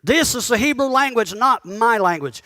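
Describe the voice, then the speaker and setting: Male pastor, very high voice; chatty sermon that prods the congregation with questions. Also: lively intonation, moderate pace, sharp tone. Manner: conversational preaching that calls out to the congregation; asks the listeners pointed questions. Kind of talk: sermon teaching.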